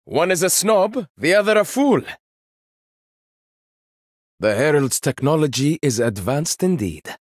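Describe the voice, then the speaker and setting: Casting: proud young game hero, inventor-warrior, dry wit, slow deliberate delivery, lively pitch, dry close studio sound. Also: male voice, dry recording. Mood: confident. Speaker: game character. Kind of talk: video game voice lines.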